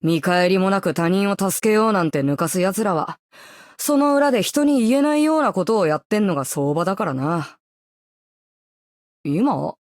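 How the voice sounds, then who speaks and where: A woman voicing a cynical, guarded rogue with blunt, rough speech in a game. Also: mid-range voice, lively intonation, quick pace, darkish tone, dry recording, studio quality, natural voice. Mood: wry, guarded. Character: cynical, guarded, rough-talking small rogue slowly warming. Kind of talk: scripted game character voice line.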